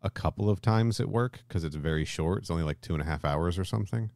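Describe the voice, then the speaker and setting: A man with an extremely low voice co-hosts a casual film discussion podcast. He talks informally, swears easily and keeps checking in with questions. The sound is dry, with very little room in it. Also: quick pace, gravelly, a little mellow, dry recording. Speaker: podcast co-host.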